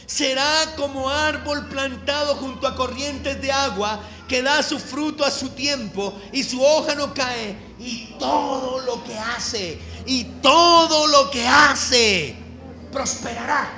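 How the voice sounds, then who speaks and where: High, bright-voiced coastal Colombian pastor. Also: male voice. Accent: Colombian Caribbean coastal. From Caribbean coast of Colombia. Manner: emphatic, slows down to stress key phrases, calls for congregation response. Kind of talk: sermon. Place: church hall. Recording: echoey church hall.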